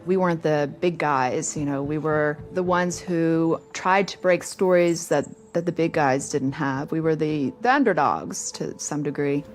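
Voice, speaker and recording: A woman reporter speaking conversationally in an interview, stressing her points with lively emphasis. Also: deep voice, measured pace, hardly any pauses. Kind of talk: documentary interview.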